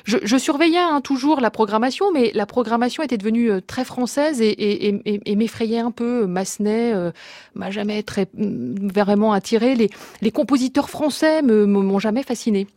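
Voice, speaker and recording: A woman journalist talking as a guest in a radio studio. She speaks with enthusiasm and often hesitates, filling pauses and repeating words. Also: fairly high pitch, mellow, no room sound. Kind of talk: casual radio chat. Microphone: studio microphone.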